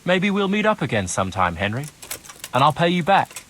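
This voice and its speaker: An actor playing an ingratiating, glib turncoat informant in a video game cutscene. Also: male voice, a little high, sing-song, a little fast, fairly bright tone, slight background noise. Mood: sly, confiding. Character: slippery male turncoat informant bargaining for his freedom.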